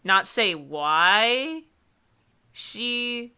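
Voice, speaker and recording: A woman with a medium-pitched voice and a bright, pointed tone. She talks casually as a comedy co-host, with blunt and sardonic commentary. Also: animated, very slow, clear, resonant tone. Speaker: co-host of a comedic book review show.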